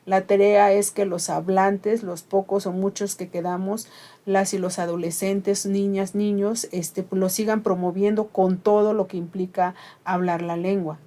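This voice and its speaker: A woman's voice: medium pitch, little pitch movement, unhurried, dark tone. A middle-aged Mixe woman with a Mixe accent and Ayuujk as her first language, talking earnestly and reflectively on a radio program, with frequent fillers. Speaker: radio host. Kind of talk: conversational radio talk.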